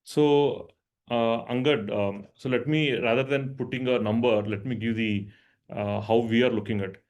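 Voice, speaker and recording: A man's voice: mid-range voice, steady tone, normal speed, darkish tone, dull audio. A man speaking as a company finance executive, explaining answers on an earnings call and often checking in with "right?".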